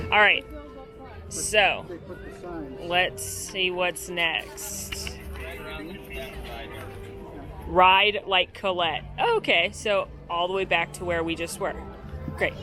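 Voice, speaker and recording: A woman hosting an upbeat theme-park vlog outdoors, talking in a bubbly, chatty, playful way. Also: on the high side. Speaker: vlog host.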